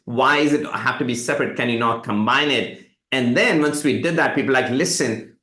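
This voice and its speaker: A middle-aged man from India, a native Hindi speaker, tells stories with energy and slips into other people's voices when he quotes them. His pitch sits in the middle range. The room around him sounds hollow and echoey. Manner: animated storytelling, quotes others in lively voices. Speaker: tech company CEO, guest. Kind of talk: live webinar interview.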